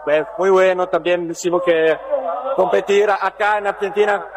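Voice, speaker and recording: Young man, high voice, crowd noise clear behind, simple phrasing. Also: fairly even intonation, moderate pace. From Italy. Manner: simple, slightly non-native phrasing. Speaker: athlete interviewed. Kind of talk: post-competition interview.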